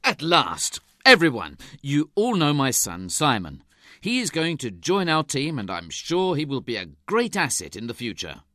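A man's voice: mid-range voice, wildly swooping pitch, normal speed, bright, forceful tone, dry recording, studio quality. A man playing a proud, hearty middle-aged father who runs a TV company and gives instructions.

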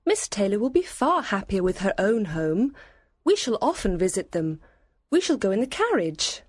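Woman acting a lively, confident young lady. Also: medium pitch, normal speed, husky, no echo, clean studio sound. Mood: reassuring, cheerful. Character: confident, playful, self-satisfied young lady. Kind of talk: audiobook character acting.

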